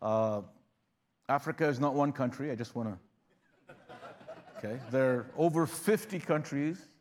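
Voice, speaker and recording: A man's voice: mid-range voice, moderate pace, a little mellow. A man preaching a sermon in a conversational style, often restarting phrases and rising in bursts of emphasis. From Uganda. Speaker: guest preacher.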